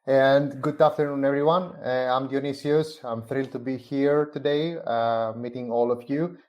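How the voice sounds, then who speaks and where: A man from Greece, a company's chief growth officer, presenting a business book in a measured way with frequent "uh" fillers. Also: mid-range voice, steady tone, muffled recording. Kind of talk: semi-scripted presentation on a live stream.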